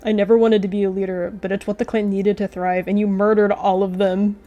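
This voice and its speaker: A woman's voice: medium pitch, level tone, brisk, dark tone, lo-fi sound, natural voice. Girl doing a grave tomcat leader, betrayed and stern, hissing, music behind. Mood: stern reproach. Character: betrayed, stern male clan leader cat.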